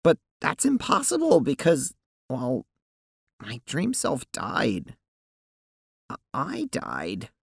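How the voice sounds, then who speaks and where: A woman acts out an anguished, blunt teenage sprite girl in an audio drama. Her voice swings dramatically in pitch and often drags slowly into a gravelly creak. She speaks haltingly and with open emotion, recorded close and dry. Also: wildly swooping pitch, no room sound, heavily acted. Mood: distressed, miserable.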